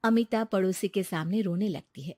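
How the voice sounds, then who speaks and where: A woman narrating a cartoon story in an even, measured way on a close studio mic. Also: mid-range voice, no echo.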